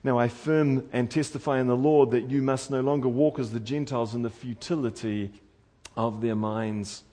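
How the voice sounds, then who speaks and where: A man from New Zealand with a New Zealand accent, preaching a sermon in an earnest, pleading way, rising to loud urgency and dropping to quiet intensity. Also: medium pitch, fairly even intonation, normal speed.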